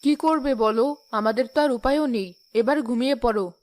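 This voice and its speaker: A woman's voice: a little high, normal speed, background noise, natural voice. A woman voicing a practical, consoling village wife and mother in a cartoon, reassuring but firm. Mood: coaxing. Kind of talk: Bangla cartoon voice acting. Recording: music behind the voice.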